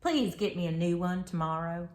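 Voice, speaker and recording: A woman voicing a fussy, entitled opossum in a children's storybook, complaining deliberately. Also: on the low side, darkish tone, roomy sound, lightly acted. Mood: fussy complaint. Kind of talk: children's storybook read-aloud.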